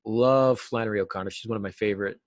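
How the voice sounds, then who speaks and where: A middle-aged American man giving informal, halting explanations as an interview guest on a video call. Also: on the low side, fairly even intonation. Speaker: interview guest, novelist and writing teacher. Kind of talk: casual interview answers. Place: small cluttered office, on a video call.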